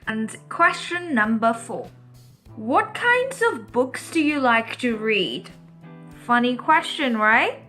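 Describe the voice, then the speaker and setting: Woman, English tutor, slightly high voice, bright tone, clear and patient, faint music behind. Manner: slow, clear, repeats questions for learners. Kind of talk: teaching lesson to camera.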